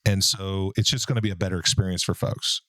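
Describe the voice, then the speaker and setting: A man in his forties with a deep baritone voice, hosting a casual podcast and telling stories in a relaxed way. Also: fast, dry recording, studio quality. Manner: relaxed, storytelling, frequent fillers. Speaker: podcast host and business owner. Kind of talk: casual conversational podcast talk.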